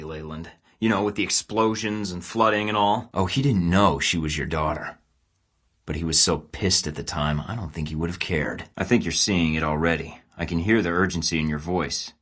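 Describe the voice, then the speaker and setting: A man's voice: deep voice, fairly bright tone. An actor playing a tough, sarcastic male field agent in a video game, speaking in dry, clipped lines. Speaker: video game protagonist, a spy.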